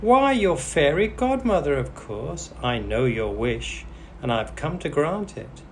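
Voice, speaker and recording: A brisk storybook fairy godmother, as a man plays her, lifted a little in pitch. She sounds assured and instructive over clear background noise. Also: big pitch swings.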